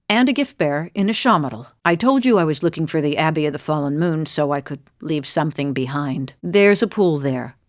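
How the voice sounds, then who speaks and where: A woman's voice: low voice, no echo, clean studio sound, close to an everyday voice. A woman playing a weary, wry middle-aged adventurer in a video game, confiding in a plain-spoken way. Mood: weary, reflective. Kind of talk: video game character dialogue.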